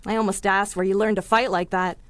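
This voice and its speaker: An actress playing a plucky, stubborn, warm-hearted young city woman in a 1930s pulp radio drama, with spirited, slangy old-time talk. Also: mid-range voice, little pitch movement, brisk, dry recording, natural voice. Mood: curious, impressed.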